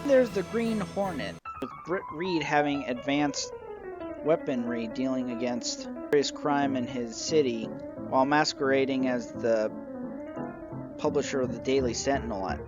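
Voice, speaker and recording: A young man giving a measured, informative show-and-tell narration as a collector reviewing his collection. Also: mid-range voice, music in the background.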